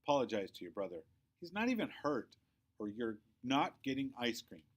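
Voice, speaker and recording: A man's voice: medium pitch, very animated, normal speed. A man voicing a stern, exasperated mother in a children's storybook, firm and admonishing with her young son.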